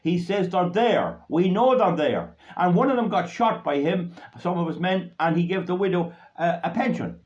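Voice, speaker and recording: Blunt Irish man, fairly high voice. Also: lively intonation, normal speed, hardly any pauses. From Ireland. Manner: blunt, rambling, forceful. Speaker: political activist. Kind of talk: political speech to supporters. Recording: dull lo-fi recording.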